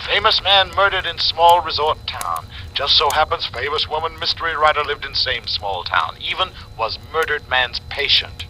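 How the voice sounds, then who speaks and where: Sharp, bright-voiced, persuasive newspaperman. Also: fairly high pitch, expressive, normal speed, rough recording. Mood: eager, coaxing. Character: brisk, persuasive, slick newspaper syndicate man. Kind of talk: 1940s radio acting. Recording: vintage radio broadcast, faint noise.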